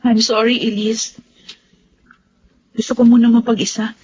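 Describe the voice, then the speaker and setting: A woman's voice: on the high side, flat delivery, unhurried, darkish tone, husky. A woman playing a hurt, defiant young granddaughter with a Filipino accent, protesting plaintively in an emotional melodrama. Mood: weary sadness. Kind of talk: radio-style melodrama acting. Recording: dull lo-fi sound under music.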